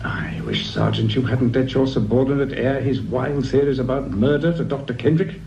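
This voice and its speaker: An actor playing a haughty, evasive British research director in a radio drama, speaking in a formal, condescending way. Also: male voice, medium pitch, normal speed, close to an everyday voice. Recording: dull radio recording with faint noise.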